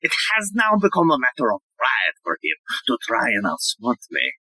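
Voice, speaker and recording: A woman's voice: extremely low pitch, big pitch swings, normal speed, bright, forceful tone, slightly rough, exaggerated voice, low-quality recording. A woman playing an eccentric, self-assured male police inspector in a comic audio play, speaking in a theatrical, earnest way. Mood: earnest, solemn. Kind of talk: audio play comedy acting.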